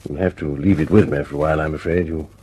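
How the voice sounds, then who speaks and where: An actor playing a sympathetic, wry senior British air officer, a measured and reassuring man, in a radio drama. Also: rumbling low, steady tone, darkish tone, slightly rough. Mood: measured, cautious.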